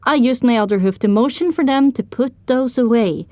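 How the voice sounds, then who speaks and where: Woman narrating an audio drama in first person, highish voice, very dry sound. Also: brisk, dark tone, dry recording.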